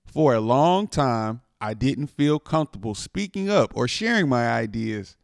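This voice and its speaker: A man reading a children's picture book aloud in an expressive way, stretching words for dramatic emphasis. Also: medium pitch, measured pace, vocal fry, dry recording, clean studio sound. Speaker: storytime reader.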